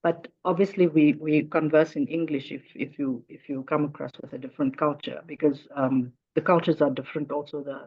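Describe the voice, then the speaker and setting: A woman's voice: deep voice, steady tone, a little fast, hardly any pauses, slightly rough. A South African woman in her fifties, an Afrikaans native speaker and teacher, talking in a casual interview and often repeating words as she searches for phrasing. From Northern Cape, South Africa. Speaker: guest, teacher. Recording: dull lo-fi audio.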